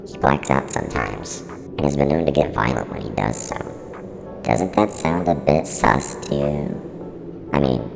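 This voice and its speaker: An extremely deep, gravel-heavy service unit speaks in a long reverb over music. It is blunt and flippant, quick to get defensive, and its pitch swings around in an analog horror web-series style. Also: male voice, full character voice. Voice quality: very deep, creaky, heavily reverberant. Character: snarky, evasive service-unit showrunner from a horror studio. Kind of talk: in-character mock interview.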